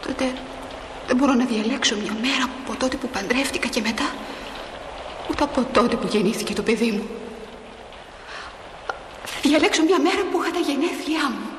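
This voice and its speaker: An actress playing a newly dead young mother in a stage drama, restless, tender and plaintive, often hushed and breathy. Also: sits high. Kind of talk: emotional stage drama acting. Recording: noisy, echoing hall recording of a play.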